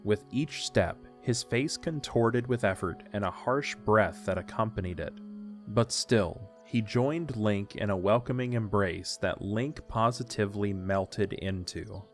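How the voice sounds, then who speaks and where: A man's voice: lowish voice, measured pace, soft background music. A man narrating a scripted story for an audio drama.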